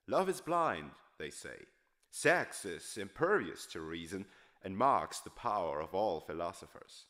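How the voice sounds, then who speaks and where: A man's voice: medium pitch, fairly bright tone. A man giving a dramatic, scripted audiobook reading of a character's monologue in an emphatic, oratorical manner. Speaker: literary reader performing a novel character's monologue.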